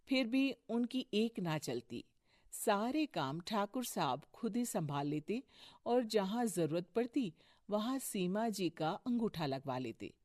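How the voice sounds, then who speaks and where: Woman narrator for an animated moral tale, unhurried, dry recording. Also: medium pitch, no room sound. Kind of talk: storybook cartoon narration.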